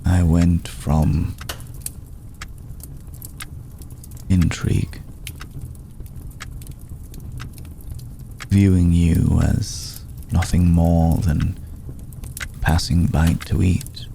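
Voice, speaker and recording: A very deep-voiced, ancient vampire lord played by a man speaks tenderly in an intimate ASMR roleplay. His delivery is very slow and solemn, with a heavy gravelly creak and long, deliberate pauses. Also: level tone, warm tone, slight background noise, heavily acted. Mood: fond, earnest. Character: courteous, brooding centuries-old male vampire lord, tender toward a mortal.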